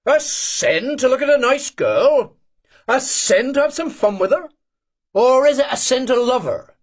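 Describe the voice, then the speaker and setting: A man voicing a boisterous, bragging old male Cossack who teases loudly and argues emphatically, in a husky voice. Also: extremely high pitch, lively intonation, unhurried, pitched above the performer's own voice, heavily acted. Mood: preaching. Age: older. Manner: bellowing, teasing, emphatic.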